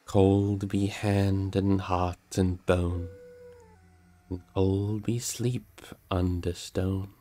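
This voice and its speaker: A man's voice: deep voice, level tone, drawn-out words, warm tone. A man voicing a cold, dreary barrow spirit chanting a solemn, grim incantation from a storybook. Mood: grim, menacing.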